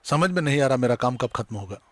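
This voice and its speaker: A man's voice: mid-range voice, expressive, fast talker, fairly bright tone, natural voice. An actor playing a genial retired schoolteacher father in his sixties in dubbed film dialogue. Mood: grumbling. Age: 60s.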